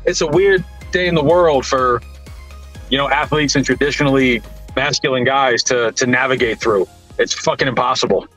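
Blunt, bright-voiced man in his forties. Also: mid-range voice, normal speed, soft background music. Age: 40s. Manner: blunt, provocative, profane. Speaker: podcast panelist. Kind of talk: heated debate on a video call. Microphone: studio mic on a video call.

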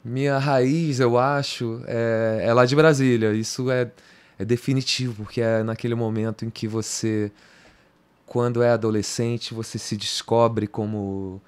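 Here is a middle-aged Brazilian man answering in a podcast chat, his voice sitting in an ordinary male pitch. He wanders through his memories with plenty of restarts and little tag questions. He is heard close on a boom mic in a small home studio. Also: fairly even intonation, unhurried, clean tone. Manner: rambling, restarts, slangy "cara", "entendeu?". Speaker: podcast guest, guitarist. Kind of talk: casual interview talk. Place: home music studio, indoors. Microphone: studio mic on boom arm.

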